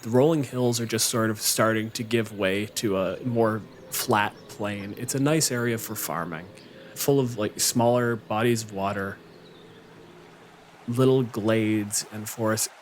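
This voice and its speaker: Male game master, lowish voice, informal improvised narration, faint background noise. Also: a little slow. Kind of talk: casual chat and improvised tabletop scene narration.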